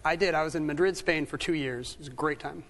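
A man, the reigning quiz champion, calling categories and answering briskly and modestly. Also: a little high, a bit bright.

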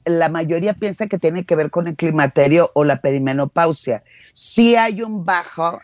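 A woman's voice: sits low, darkish tone, dry recording. Middle-aged woman, dry close sound. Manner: emphatic, repeats words for stress. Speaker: sexologist, expert guest. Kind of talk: conversational advice with explanations.

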